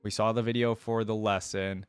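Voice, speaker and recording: Low-voiced man, dry close sound. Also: little pitch movement, moderate pace, smooth, no echo. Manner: informal, thinking aloud. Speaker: reaction video host. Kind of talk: reading wiki text aloud with casual commentary.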